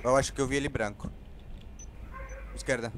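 A man's voice: medium pitch, steady tone, brisk. Male online teammate raised in Uruguay, casual tactical callouts, noisy voice chat with gunshots and clicks. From born in Brazil, raised in Uruguay. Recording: noisy game voice chat, gunfire.

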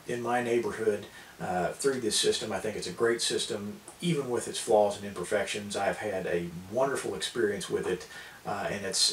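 An American man in his thirties giving an informal explainer to camera, with a halting delivery full of pauses. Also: on the low side, a bit bright. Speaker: preparedness video host. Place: small indoor room at a table. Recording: roomy, distant mic, hissy background.

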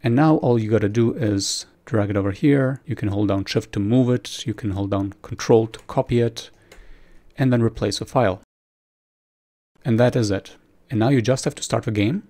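A man's voice: lowish voice, normal speed, warm tone. A man presenting a screen-recorded tutorial, explaining each step informally.

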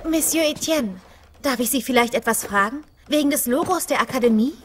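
A woman's voice: high voice, expressive, a little fast, noisy background. A woman playing a cheerful, curious young horse lover in a cartoon audio play, polite and eager. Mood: politely curious. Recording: music under the voice.

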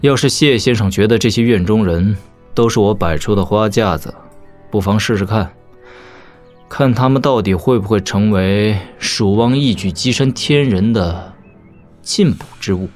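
An actor playing a proud young warrior prince in an audio drama, measured, cutting and mock-polite. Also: male voice, lowish voice, faint music behind, lightly acted. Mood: cutting, defiant.

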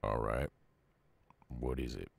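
Man voicing a very deep, gravelly Southern werewolf. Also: steady tone, takes it slowly, exaggerated voice. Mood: hesitant, touched. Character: gruff, lonely, gentle Southern male werewolf. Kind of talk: ASMR audio roleplay.

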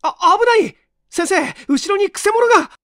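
A man voicing an earnest, quick-witted young male assistant who speaks urgently and politely in a dramatic story reading. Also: extremely high pitch, animated, pushed and bright, no echo, clean studio sound, pitched above the performer's own voice, exaggerated voice. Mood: fierce, accusing. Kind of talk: dramatic audiobook character reading.

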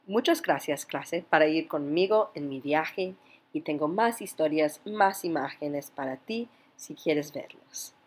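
A woman's voice: low voice, a little slow. A young woman with English as her first language, vlogging on her travels in learner's Spanish with slips and restarts, filming herself at arm's length on a phone. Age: young adult. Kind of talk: travel vlog to camera. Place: outdoors in a city, phone held at arm's length.

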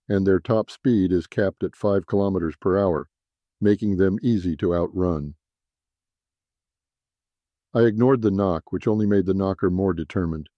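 A man's voice: low-pitched, no room sound, highs cut off. A man narrating a scripted first-person noir science fiction story.

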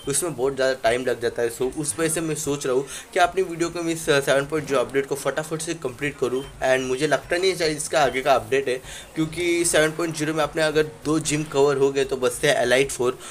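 A man's voice: on the high side, brisk, a little hiss or hum. A young man casually commentating a handheld game, rambling with frequent fillers and English words mixed in, recorded on a phone. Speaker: gaming channel host. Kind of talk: casual Let's Play commentary.

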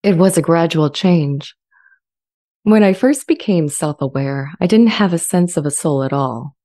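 A woman performs a sentient artificial intelligence in a dramatized dialogue. The voice is low and soft-edged, with a mellow tone. It speaks serenely and reflectively, in measured, gentle phrases. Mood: serene, thoughtful. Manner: measured, calm, reflective delivery. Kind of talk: dramatized transcript reading. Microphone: large studio condenser mic up close.

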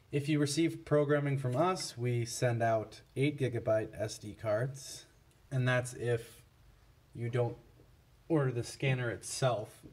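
A man giving a casual, unscripted product comparison in a halting, matter-of-fact way. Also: medium pitch. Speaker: product reviewer for a scanner seller.

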